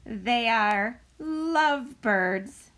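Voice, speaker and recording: Woman voicing an animated, deliberate storybook pig. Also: on the high side, full character voice. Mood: matter-of-fact. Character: calm, matter-of-fact female pig friend in a storybook. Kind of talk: expressive picture book read-aloud.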